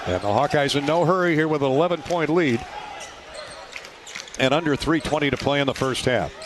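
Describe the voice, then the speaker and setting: An American man with a slightly high voice calls a basketball game live on radio. Clear arena noise and ball bounces sit behind his commentary. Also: a little fast. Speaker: play-by-play commentator. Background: game ambience, bouncing balls.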